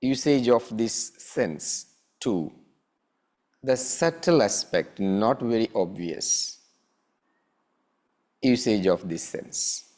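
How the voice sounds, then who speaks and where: Very slow, low-voiced male meditation guide. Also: expressive, a little mellow. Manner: measured, with long pauses between short phrases. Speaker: meditation teacher.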